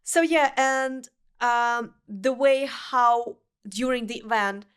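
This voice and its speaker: A young woman from Uzbekistan who speaks English with a Russian accent as a non-native speaker, talking earnestly with halting phrasing and restarts as a podcast guest. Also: a little high, clear, resonant tone. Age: young adult. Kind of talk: casual interview chat.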